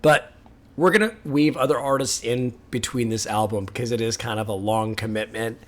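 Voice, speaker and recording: Male reaction host, casual and chatty, slightly raspy from a lingering sore throat. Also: mid-range voice. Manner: chatty, laughs often, pauses the track to comment.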